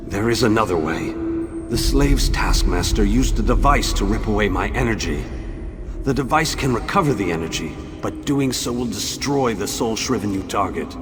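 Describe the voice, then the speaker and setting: An actor playing a pompous, self-assured great wizard in a video game, speaking in a grand, formal, theatrical way. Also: male voice, mid-range voice, background noise, close to an everyday voice. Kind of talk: video game character acting. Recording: loud music behind the voice.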